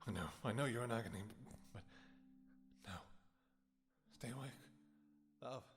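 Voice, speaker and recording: An actor playing a regal, possessive vampire king in a fantasy audio roleplay, with archaic, theatrical speech and halting pauses. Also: mid-range voice, close to an everyday voice. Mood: desperate pleading. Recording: echoey hall reverb with music.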